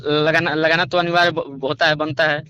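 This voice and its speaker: A man's voice: fairly high pitch, little pitch movement, moderate pace, pushed and bright, smooth. A man defending himself in a phone conversation, repeating words and hesitating while addressing the other person respectfully. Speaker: singer being interviewed. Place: phone call recording. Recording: dull lo-fi recorded phone call.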